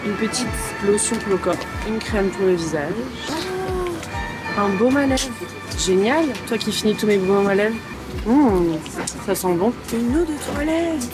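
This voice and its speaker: A woman vlogging in an airplane cabin on her phone, talking casually in an excitable, exclamatory, slangy way. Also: on the high side, a little slow, rough recording. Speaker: travel vlogger. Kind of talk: excited casual vlog narration. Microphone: phone camera. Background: loud music.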